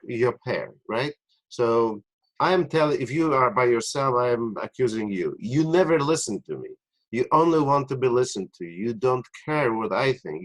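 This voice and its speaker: A man with a Polish-American accent hosting a live webinar as a doctor. He explains a group exercise haltingly, with frequent restarts, and laughs easily. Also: medium pitch, rough recording.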